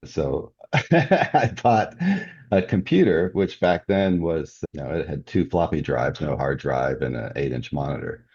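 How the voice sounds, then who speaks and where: Very deep, clear-voiced older man, muffled. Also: animated, measured pace, a little mellow. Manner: chuckles often as he talks. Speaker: interview guest, author. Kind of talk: casual podcast interview. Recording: dull, muffled lo-fi audio.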